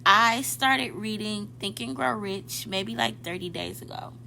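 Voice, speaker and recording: A woman's voice: mid-range voice, measured pace, vocal fry, bright, forceful tone, slight background noise, poor audio. A woman in her twenties hosting a podcast, chatting casually and directly to her listeners, often correcting herself mid-thought. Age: 20s. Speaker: podcast host. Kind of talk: casual motivational podcast talk.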